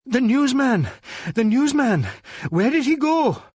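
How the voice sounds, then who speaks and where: A man's voice: very high-pitched, sing-song, takes it slowly, a little mellow, slightly rough, no echo, voice pushed up, full character voice. A man voicing an urgent, insistent male police inspector in an audiobook detective story. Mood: shouting urgently. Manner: exclaiming, insistent, demanding.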